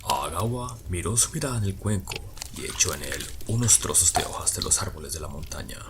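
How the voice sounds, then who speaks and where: Low-voiced man narrating an audio drama. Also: lively intonation, a little fast, heavy background noise.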